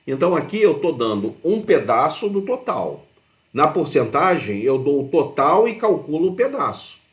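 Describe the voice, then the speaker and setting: The voice sits in an echoing room and belongs to a Brazilian man teaching informally. His intonation swings widely on a slightly raised pitch. He explains one step at a time and often tags his phrases with small check-in questions. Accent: Brazilian Portuguese. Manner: conversational, often checks understanding with short tag questions. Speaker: math teacher. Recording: roomy, echoing voice.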